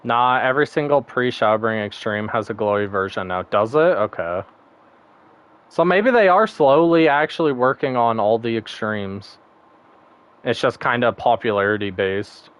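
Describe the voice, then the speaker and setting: A man's voice: medium pitch, expressive, moderate pace, a bit bright, smooth. A man streaming a game, chatting casually and rambling, laughing often and swearing now and then. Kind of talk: casual gaming stream chat.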